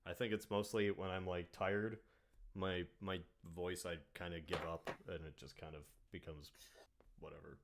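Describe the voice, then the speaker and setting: A man's voice: sits low, flat delivery, moderate pace, slight background noise. A man hosting a casual podcast chat, drawling and trailing off into mumbles. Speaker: podcast host.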